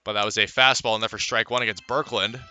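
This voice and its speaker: A man's voice: fairly low pitch, steady tone, fast talker, pushed and bright, clean tone, faint music behind. A man calling live baseball play-by-play in a radio commentary style, filling gaps with player statistics.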